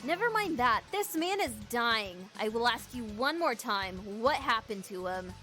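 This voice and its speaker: A woman's voice: on the high side, very animated, measured pace, bright, forceful tone, soft background music, full character voice. A woman voicing a persistent, businesslike hospital nurse in a visual novel game. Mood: insistent. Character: insistent female hospital nurse pressing for answers.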